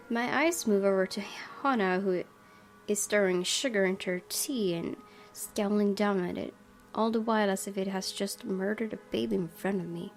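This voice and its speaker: A woman narrates a visual novel in the first person, reading from the script. Her pitch is average for a woman, and music plays clearly behind her. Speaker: gaming video host reading a visual novel aloud.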